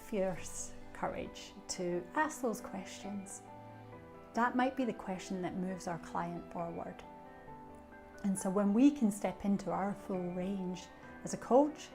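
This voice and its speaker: A woman's voice: lowish voice, mellow. A middle-aged Scottish woman, a coach, introducing herself and sharing coaching tips in a reflective way with fillers. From Scotland. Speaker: coach, association treasurer. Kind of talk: self-introduction and coaching talk.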